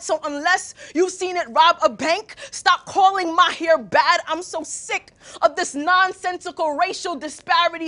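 A young woman poet with a very high, forceful voice. She declaims spoken word in an emphatic rhythm, shaping each phrase with deliberate, articulate diction. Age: young adult. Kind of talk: performed spoken word poetry.

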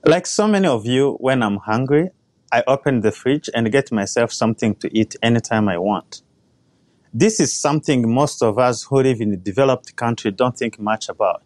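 A man's voice: medium pitch, expressive, darkish tone, no room sound. Earnest man. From Rwanda. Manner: earnest, measured, personal storytelling. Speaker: crop scientist giving a talk. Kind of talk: prepared talk.

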